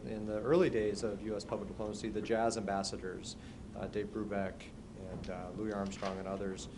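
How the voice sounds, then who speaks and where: Low-voiced hesitant male moderator. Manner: hesitant, many fillers. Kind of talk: panel moderation. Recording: noisy room recording.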